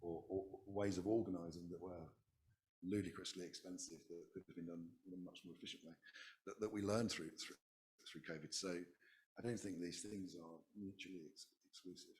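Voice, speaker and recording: A man on a conference panel, recovering from a virus, speaking in a halting way and often repeating words. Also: sits low, fairly even intonation, darkish tone. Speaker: public health specialist on a panel.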